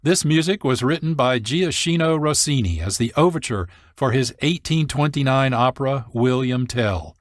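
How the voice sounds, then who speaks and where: A man's voice: medium pitch, animated, moderate pace, hardly any pauses, no room sound. Dry, close male radio host. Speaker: producer and host. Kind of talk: scripted documentary radio narration.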